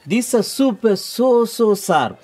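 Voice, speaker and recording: A man's voice: sits high, very animated, extremely slow, darkish tone, a little hiss or hum, heavily acted. A man playing a kindly foreign shopkeeper father in a children's radio play, speaking German in clipped, broken phrases. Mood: proud, caring.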